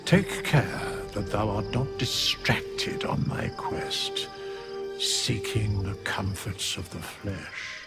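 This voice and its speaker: An actor playing an ancient, solemn undead sage in a video game, speaking formally and archaically in a gravelly, measured voice. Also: male voice, on the low side. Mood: solemn, admonishing. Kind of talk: video game character acting. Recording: loud game music behind the voice.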